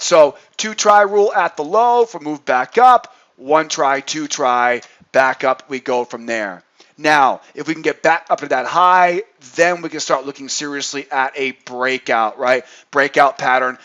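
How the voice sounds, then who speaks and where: A man's voice: medium pitch, a bit bright, dull audio. A man hosting an informal market chart tutorial as an instructor, speaking emphatically, repeating points and checking in with the listener. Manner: emphatic, repetitive, checks in with "right?". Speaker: trading instructor and channel host.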